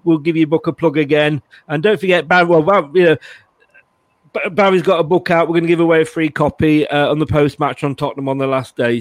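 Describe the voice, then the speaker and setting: A man hosting a casual chat show, chatty and quick to laugh, with many restarts. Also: fairly high pitch, dry recording. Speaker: host.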